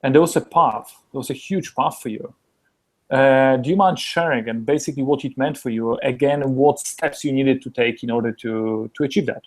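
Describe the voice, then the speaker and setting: A man's voice: mid-range voice, moderate pace. Encouraging male interviewer. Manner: encouraging, responds often with short approval. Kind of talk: interview conversation.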